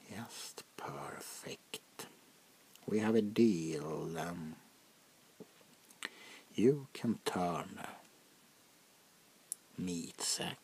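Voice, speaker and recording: A man playing a haughty, centuries-old French vampire prince in a soft-spoken, half-whispered ASMR roleplay, speaking deliberately and with condescension. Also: deep voice, a little hiss or hum, heavily acted. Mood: commanding. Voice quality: gravelly, breathy half-whisper. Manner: slow, deliberate, condescending, drawn-out pauses.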